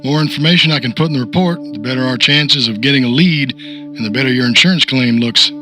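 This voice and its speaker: An easygoing, businesslike policeman, played by an actor in an audio drama. His mid-pitched voice is bright and he speaks in a matter-of-fact, obliging way. Music runs underneath. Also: fast talker, close to an everyday voice. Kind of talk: naturalistic audio drama acting.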